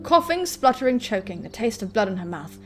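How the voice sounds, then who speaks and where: A woman's voice: on the high side, very animated, fairly quick, faint music behind. A woman doing let's-play commentary, reading a visual novel aloud and joking crudely with plenty of swearing. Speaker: gaming video host.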